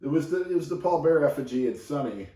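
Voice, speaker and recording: A man's voice: a little high, lively intonation, fast talker, mellow, far from the mic. A man riffing on a casual chat podcast, rambling and joking, often restarting his sentences. His mid-range voice sits a little back from the mic and rings around a roomy space. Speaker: podcast co-host. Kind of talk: casual chat recapping wrestling.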